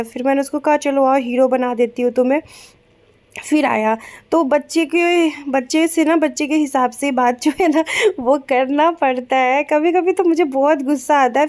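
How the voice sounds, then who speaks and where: Soft-spoken young woman with a sore throat. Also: sits high. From Bihar, raised in Kolkata. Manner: chatty, soft and unhurried, many fillers. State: sore throat, speaking gently. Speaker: vlogger. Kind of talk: casual vlog voice-over.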